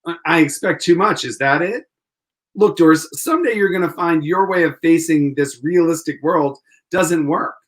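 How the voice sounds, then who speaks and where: A man's voice: fairly high pitch, normal speed, close to an everyday voice. An actor playing an idealistic, earnest lawyer in a 1940s-style radio play, pleading his case. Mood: earnest, hurt.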